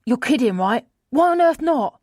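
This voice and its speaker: A woman's voice: high voice, animated, normal speed, a little mellow, slightly rough, no room sound, studio quality, voice pushed up. A woman voicing a distraught, incredulous female friend who speaks bluntly and emotionally in an audiobook. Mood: shocked, incredulous.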